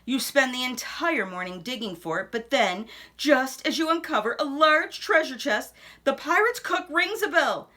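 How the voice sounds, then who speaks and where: A woman with a fairly high voice reads a picture book aloud to children. Her pitch swings in a lively way, and she uses dramatic pauses and drops into hushed asides. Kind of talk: expressive children's read-aloud.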